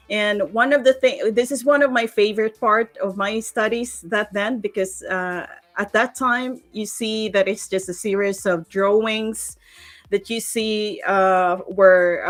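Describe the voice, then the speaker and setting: A woman in her thirties from the Philippines, talking on a video call through a headset, friendly, laughing often and pausing with "uh". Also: mid-range voice. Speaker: guest speaker, software engineer. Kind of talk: casual video-call interview. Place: home room with plants behind.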